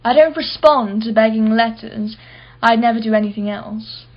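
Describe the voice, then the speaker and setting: An actress playing a wealthy, privileged art collector in a radio play, with an arch, articulate, sardonic manner. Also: female voice, on the high side, normal speed, lo-fi sound. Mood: haughty, defensive. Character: haughty, wealthy, privileged female art collector, witty and defensive.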